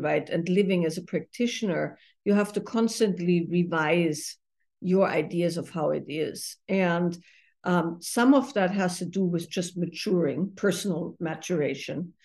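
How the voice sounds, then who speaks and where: A middle-aged woman from Austria, a therapist and author, giving thoughtful interview answers with many pauses and fillers. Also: fairly low pitch, a little mellow.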